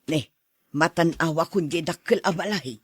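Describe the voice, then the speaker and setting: An aging Ilocano aunt in a radio drama. Her voice sits low and husky, recorded close and dry. She speaks as a lonely single woman seeking an old flame. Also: normal speed, no room sound. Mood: wistful, curious. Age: older.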